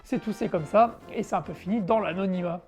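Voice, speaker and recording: A woman's voice: mid-range voice, brisk. A woman hosting a retro game show, narrating a review in a frank, conversational way with casual asides. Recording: background music under the voice.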